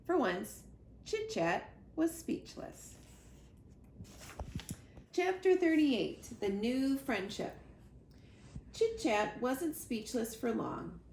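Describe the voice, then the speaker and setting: A woman reading a children's storybook aloud with expressive narration. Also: a little high, extremely slow, pauses often. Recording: roomy echo, clear background noise.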